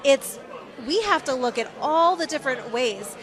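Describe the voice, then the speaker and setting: A woman's voice: high-pitched, lively intonation, moderate pace. A woman reporter doing a conversational on-location interview, speaking in an effusive, supportive way. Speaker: TV correspondent interviewing. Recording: crowd chatter around the voice. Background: crowd at an event.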